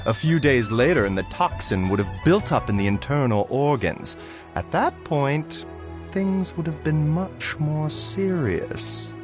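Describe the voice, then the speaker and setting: An actor playing a calm, courteous physician in a video game, measured, precise and reassuring. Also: male voice, fairly high pitch. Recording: background music under the voice.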